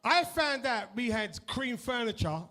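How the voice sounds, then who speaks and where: A man's voice: extremely high pitch, big pitch swings, a little slow, bright, forceful tone. A middle-aged man with an East London accent doing a stand-up set and chatting with the crowd, swearing freely and leaning on tags like "innit" and "right". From East London, England. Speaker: stand-up comedian. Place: comedy club with a live audience.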